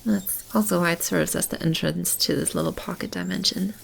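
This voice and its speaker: Woman acting as gentle female animal shelter keeper, ASMR roleplay, lowish pitch, heavily creaky, soft, soothing, nurturing. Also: a little fast, a little hiss or hum. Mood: caring, reassuring. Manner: soft, soothing, chuckling, speaking to a child.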